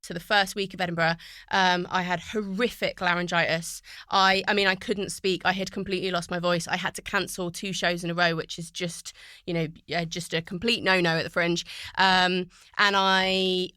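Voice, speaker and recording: A woman's voice: on the low side, bright, forceful tone, clean tone, dry recording. A woman guest on a podcast, a poet and TV writer, telling her story in a fluent, reflective way. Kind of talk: casual podcast conversation. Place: recording studio.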